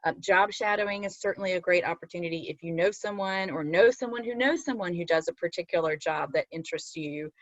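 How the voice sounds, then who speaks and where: Woman with a low voice on dull, dry audio. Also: fast, hardly any pauses, no echo. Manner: fluent, encouraging. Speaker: panel host. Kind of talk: career advice talk.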